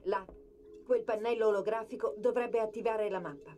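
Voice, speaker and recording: A woman playing a sharp, analytical military artificial intelligence in a dubbed sci-fi video game, brisk and commanding. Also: on the high side, steady tone, noisy background, lightly acted. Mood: analytical, assured.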